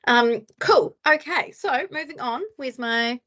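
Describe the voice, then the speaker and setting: A woman hosting an online meeting over a video call, chatty and quick to laugh. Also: high voice, fairly bright tone, dull audio. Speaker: meeting host.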